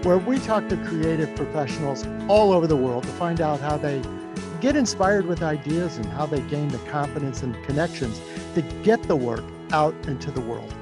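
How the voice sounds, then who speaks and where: A man's voice: on the high side, expressive, moderate pace, music in the background. A man speaking as the host of an interview podcast.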